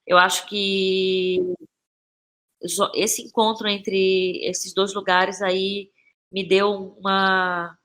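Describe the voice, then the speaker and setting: A woman's voice: mid-range voice, a bit bright. A Brazilian woman speaking as a podcast guest, casual and reflective, often repeating phrases as she finds her words. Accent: Brazilian Portuguese. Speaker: interview guest, playwright and actress.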